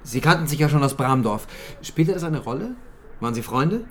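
An actor playing a prosecutor who questions a witness with short, probing questions in radio drama dialogue. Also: male voice, mid-range voice, lively intonation, moderate pace, slight background noise, natural voice.

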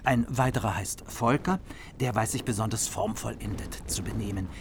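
A gracious noble margrave in a radio play, low, formal and courteous. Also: male voice, normal speed, gravelly, noisy background. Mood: courtly. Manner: dignified, courteous. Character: noble old margrave, generous and courtly host. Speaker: actor in radio drama.

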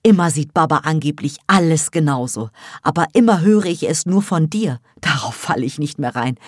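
A woman's voice: sits low, lively intonation, moderate pace, no echo, clean studio sound. A woman voicing an exasperated, defiant adult daughter in an audiobook, arguing back firmly and with annoyance. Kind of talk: audiobook dialogue.

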